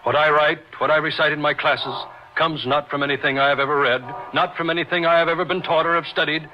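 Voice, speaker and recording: An actor playing a brilliant, lonely and superior young surgeon in old-time radio horror style, speaking gravely and deliberately. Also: male voice, on the high side, steady tone. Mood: superior, certain. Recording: muffled radio drama with background hiss.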